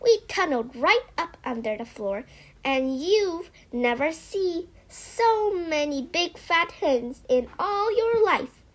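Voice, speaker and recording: A woman as an excited high-pitched little fox cub. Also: slow, heavily acted. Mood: eager, thrilled. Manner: breathless, exclamatory, spluttering. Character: excited, eager small boy fox cub.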